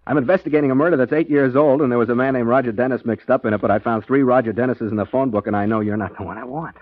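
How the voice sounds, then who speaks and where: An actor playing a chipper, guileless, self-satisfied private detective, narrating breezily in 1940s radio drama style. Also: male voice, medium pitch, steady tone, rapid-fire, dry recording, lightly acted. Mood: cheerfully naive. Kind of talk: 1940s radio acting, first-person narration. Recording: muffled 1940s radio recording.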